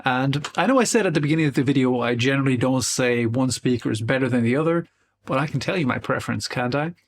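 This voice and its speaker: A man's voice: medium pitch, fast talker. Guy with a stuffy nasal voice, coming down with a cold, chatting casually about gear. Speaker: guitar speaker demo channel host. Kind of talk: casual talk to camera.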